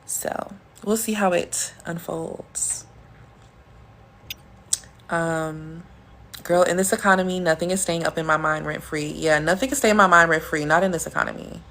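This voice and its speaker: Deep-voiced woman chatting on a livestream. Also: steady tone, normal speed, pauses often, fairly bright tone, slight background noise. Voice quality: deep, smooth, sultry voice. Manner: playful and chatty, with bursts of high laughter. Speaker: livestream host. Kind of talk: casual livestream chat, answering comments.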